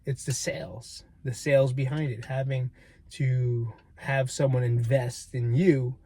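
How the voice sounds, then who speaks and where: A man's voice: mid-range voice, steady tone, measured pace, warm tone, slightly rough. A man who works as a personal trainer, talking candidly and reflectively to his channel, rambling and self-deprecating with some swearing. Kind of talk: reflective casual monologue.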